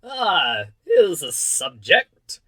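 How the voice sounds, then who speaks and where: A performer voicing a pompous, blustering absolute monarch in a storybook, with a loud, grand, commanding delivery. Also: mid-range voice, sing-song, unhurried, no echo, voice pushed up, exaggerated voice. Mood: imperious.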